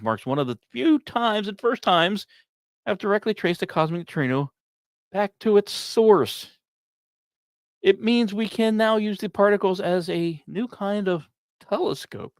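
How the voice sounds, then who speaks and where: A man hosting a science podcast, reading scripted narration with casual asides and musing, dramatic pauses between short phrases. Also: fairly high pitch, dry recording, studio quality. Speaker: podcast host.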